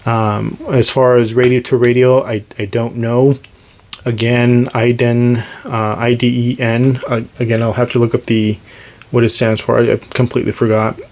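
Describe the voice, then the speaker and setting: A man's voice: mid-range voice, little pitch movement, normal speed, darkish tone. A man giving a casual, hands-on gadget demonstration as host, talking in a hesitant, unscripted, self-deprecating way. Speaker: host demonstrating radio gear.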